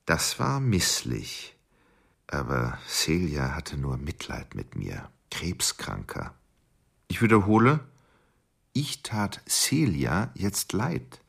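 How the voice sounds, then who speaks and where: An actor playing a coolly obsessive murderer who confides his plans deliberately in a radio drama monologue. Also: extremely low pitch, wildly swooping pitch, gravelly, fairly bright tone, husky, heavily acted. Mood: cold, scheming.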